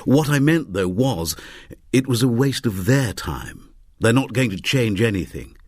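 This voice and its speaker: A man voicing a mild older scientist in a story, raspy and measured. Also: medium pitch, big pitch swings, a little mellow, dry recording, studio quality, pitched above the performer's own voice. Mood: polite. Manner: measured, faintly obscure.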